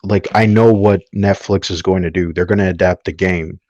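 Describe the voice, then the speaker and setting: Low-voiced man on a dull mic. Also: steady tone, moderate pace, darkish tone, no room sound. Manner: opinionated, often seeks agreement with tag questions. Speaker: podcast panelist. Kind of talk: casual panel discussion.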